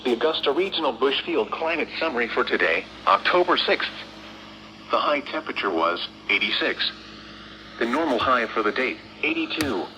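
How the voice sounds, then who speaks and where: A man's voice: medium pitch, animated, normal speed, bright, forceful tone, a little hiss or hum, low-quality recording. A man reading a scripted weather bulletin as a radio announcer, heard through a small radio speaker.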